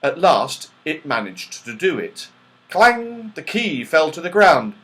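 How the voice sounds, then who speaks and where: Unhurried, expressive storytelling man. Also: on the high side. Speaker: audiobook reader. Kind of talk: expressive children's story narration.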